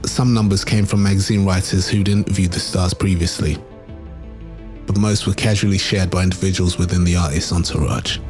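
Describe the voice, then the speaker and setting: A man reading scripted documentary narration in a measured, dramatic storytelling manner. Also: low voice, steady tone, a little mellow, faint music behind.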